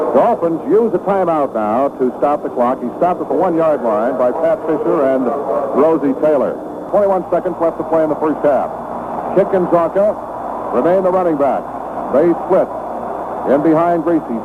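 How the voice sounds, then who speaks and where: A middle-aged man calls a football game live for radio, rattling off plays quickly and surging higher when something big happens. His voice sits a bit high. It comes through a narrow, tinny vintage broadcast buried in heavy hiss. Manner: fast excited play calling, rising pitch on big plays. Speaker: radio play-by-play announcer. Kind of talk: live radio sports commentary.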